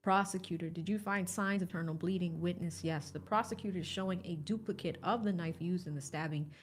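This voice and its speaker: A woman's voice: fairly low pitch, fairly even intonation, fairly quick, slight background noise. A woman reporting testimony by reading a trial recap aloud in a factual, clipped question-and-answer delivery.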